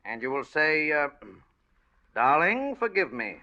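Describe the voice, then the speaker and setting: Suave, menacing old radio villain. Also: male voice, on the high side, very animated, pushed and bright, exaggerated voice. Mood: coldly commanding. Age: older. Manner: genteel, mock-polite, unhurried menace. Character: smooth, menacing old male extortionist and killer. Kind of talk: 1940s radio thriller acting. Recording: dull vintage radio broadcast.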